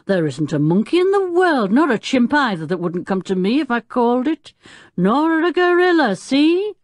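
A woman's voice: sits high, very animated, moderate pace, no echo, studio quality, voice pushed up. Woman voicing a hearty old circus grandfather. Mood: boastful, friendly. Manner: hearty, confident, folksy. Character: fierce-looking but good-natured old circus-owner grandfather.

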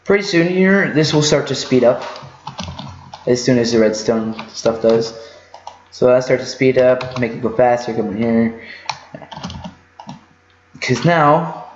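Male gaming tutorial host, slow and halting, thinking aloud in a roomy, echoey space. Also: mid-range voice. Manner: halting, rambling, thinks aloud while working. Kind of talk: casual unscripted game walkthrough commentary. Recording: muffled lo-fi mic in echoey room.

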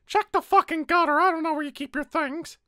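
Lady doing a super high little cartoon flower, bitter and sweary, sarcastic, really dry close sound. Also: steady tone, fairly quick, sharp tone, no echo. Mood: defensive, sullen. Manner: sarcastic, cursing, stammering when cornered. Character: small bitter foul-mouthed talking flower, emotionally numb and angry. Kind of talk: voice-acted fan roleplay drama.

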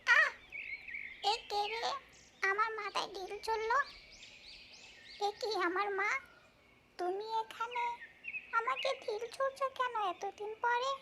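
A woman voicing a mischievous yet tender female tree ghost in a folk-tale cartoon, speaking in drawn-out exclamations. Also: very high voice, steady tone, heavy background noise. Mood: surprised, regretful.

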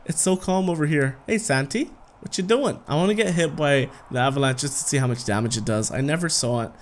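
A man's voice: on the high side, brisk, slight background noise. A man in his thirties giving casual, playful gameplay commentary as a gaming video host, talking to the game and chuckling often.

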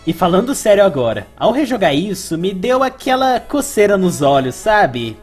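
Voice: mid-range voice, sing-song, normal speed, a little mellow, husky, soft background music. A narrator with a Brazilian Portuguese accent, reading scripted commentary as a retro video game reviewer.

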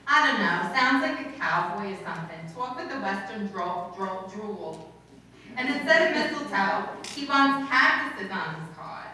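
A woman's voice: mid-range voice, lively intonation, measured pace, bright, forceful tone, a little hiss or hum. A woman playing a scatterbrained, bossy, cheerful wife in an old-time radio comedy, performed on stage. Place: stage in a hall before a seated audience. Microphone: far camera. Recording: very echoey room, distant camera microphone.

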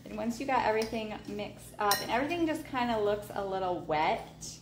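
A woman casually talking through her meal prep in a home kitchen, relaxed and chatty with lots of ums. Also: mid-range voice, a little fast, background noise. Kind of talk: casual cooking vlog narration. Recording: echoey kitchen with clattering dishes. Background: clinking cutlery, dishes and pans.

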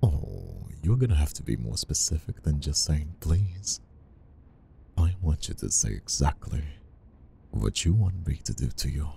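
A man playing a flirtatious, dominant boss in an intimate ASMR roleplay, murmuring slowly and breathily with a deep, gravelly voice. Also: big pitch swings, mellow, slight background noise, heavily acted. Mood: seductive, commanding. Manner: slow, breathy murmuring with sighs. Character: flirtatious, conflicted male boss, dominant and teasing. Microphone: close ASMR microphone.

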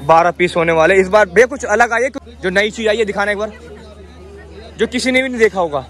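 A man's voice: high-pitched, brisk, a bit bright, some chatter behind. A young man filming a casual vlog on his phone as he walks a busy outdoor street market, chatting with sellers and repeating each price to the camera. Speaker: vlogger. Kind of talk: handheld market walkthrough vlog. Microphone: phone held at arm's length.

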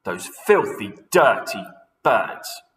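A nasty, grumbling old man from a storybook, full of spite, as a man puts him on. The voice is raised, bright and loud, and an echoey room rings behind it. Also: a little high, animated, unhurried, voice pushed up. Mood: indignant.

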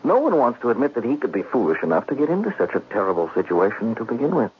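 An actor in a 1970s radio drama playing a cultured, scheming gambler with an articulate, evasive manner. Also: male voice, mid-range voice, wildly swooping pitch, fast. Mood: smoothly persuasive. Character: scheming, cultured gambler plotting murder, feigning bewildered innocence. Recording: muffled, noisy vintage radio recording.

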